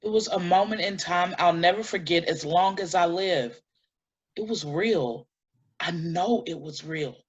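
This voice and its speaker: An actress playing a shaken, earnest woman giving deliberate testimony in a dramatic staged reading, on a lo-fi recording. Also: on the low side, husky. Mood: hushed wonder. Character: shaken, earnest woman recounting an eerie night in woods.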